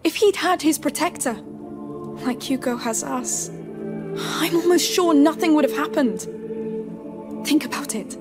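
A woman's voice: sits high, measured pace, pauses often, over music. A woman playing an earnest, protective teenage girl in a video game cutscene. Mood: earnest, hopeful.

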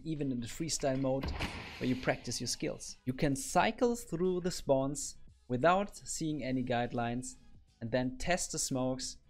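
Upbeat man coaching through gameplay. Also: fairly high pitch. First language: German. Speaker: gaming coach. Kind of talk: game tutorial narration. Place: home office. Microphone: boom arm studio mic.

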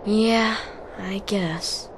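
A man's voice: high voice, steady tone, very slow, noisy background, exaggerated voice. A male actor playing an earnest, spirited teenage boy hero in a video game. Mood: hesitant.

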